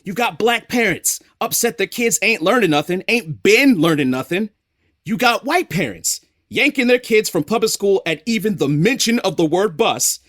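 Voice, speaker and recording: Exasperated, streetwise storyteller for audio drama: colloquial, rhythmic, very lively delivery, dry sound. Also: male voice, high voice, normal speed, no room sound. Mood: exasperated, urgent. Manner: colloquial, rhythmic, repeats phrases for effect. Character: streetwise, exasperated narrator of a city in crisis.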